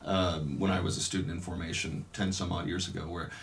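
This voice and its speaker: This is a man with a notably low voice, speaking in a relaxed interview setting. He talks through his memories thoughtfully, pausing often and circling back to restart his sentences. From California. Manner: reflective, unhurried, many pauses and restarts. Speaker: Dominican priest. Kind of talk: casual interview, telling his life story.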